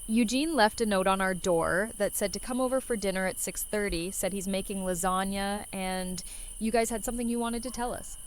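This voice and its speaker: A woman's voice: medium pitch, brisk, close to an everyday voice. A woman playing a casual, curious friend from a survivor community in an audio drama. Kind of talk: fan audio drama dialogue.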